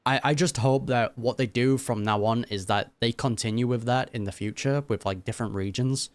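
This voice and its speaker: A man's voice: mid-range voice, steady tone, normal speed, dry recording. A man giving casual, musing commentary as he reacts to a game, speaking informally. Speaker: gaming reaction YouTuber.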